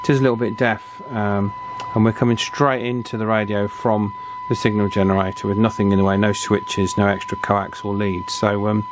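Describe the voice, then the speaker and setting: A man's voice: lowish voice, level tone, normal speed, background noise. A man giving an informal hands-on demonstration, hesitant and thinking aloud as he works. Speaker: radio repair hobbyist presenting. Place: indoor electronics workbench.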